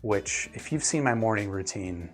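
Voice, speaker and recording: A man's voice: lowish voice, animated, moderate pace, husky. Casual man chatting as a reviewer, music behind. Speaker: audio gear reviewer and host. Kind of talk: casual livestream chat answering viewer questions.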